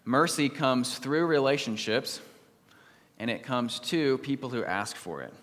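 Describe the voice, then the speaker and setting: A man preaches from the pulpit at a middle pitch, his voice carrying a long reverb through the church. He reads scripture slowly and solemnly, and turns livelier when he tells a story. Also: expressive, fairly bright tone. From United States. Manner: measured, solemn reading, livelier when telling stories. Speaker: pastor. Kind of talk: sermon with scripture reading and prayer.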